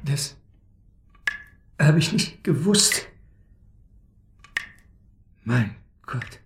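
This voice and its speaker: An actor in a German radio drama playing an evasive, frightened seducer who is defensive, halting and apologetic. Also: male voice, on the high side, lively intonation, creaky, exaggerated voice. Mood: shaken, pleading.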